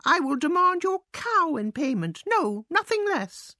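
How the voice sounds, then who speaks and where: Woman voicing a high-pitched pompous little male mouse. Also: lively intonation, normal speed, dry recording, clean studio sound. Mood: indignant. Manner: pompous, declamatory. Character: small pompous male mouse, indignant and self-important. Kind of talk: storybook character voice.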